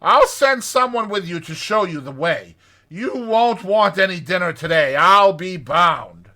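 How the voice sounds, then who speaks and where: Storybook badger, played by a man: hearty, kindly, opinionated, emphatic, pitched high with big forceful swings. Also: lively intonation, unhurried, heavily acted. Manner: emphatic, rhetorical, declaiming. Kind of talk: dramatized storybook reading.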